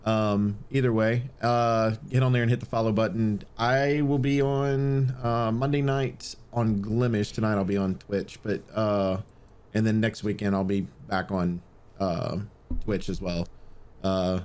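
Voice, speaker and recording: A man's voice: fairly low pitch, fairly even intonation, a little slow, darkish tone, smooth. A man co-hosting a podcast in casual, jokey banter, often sarcastically acting out imagined dialogue. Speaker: podcast co-host.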